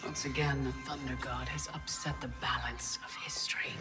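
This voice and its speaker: An actress voicing an ancient, scheming female timekeeper goddess in a hushed, whispery, slow and ominous way. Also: rumbling low, fairly even intonation, over loud music. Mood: menacing. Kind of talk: video game cutscene acting. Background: orchestral game score.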